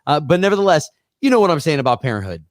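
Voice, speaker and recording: A man co-hosting a radio show who talks quickly and stumbles over repeated words. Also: a little high, big pitch swings, dry recording, clean studio sound. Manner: fast and stammering, repeats words. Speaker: radio co-host. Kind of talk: casual radio interview chat. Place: radio studio.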